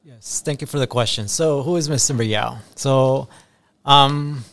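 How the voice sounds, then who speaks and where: A man's voice: medium pitch, unhurried, a bit bright. A man from Ecuador, an assistant principal in his thirties, answering school podcast questions earnestly with frequent ums and repeated phrases.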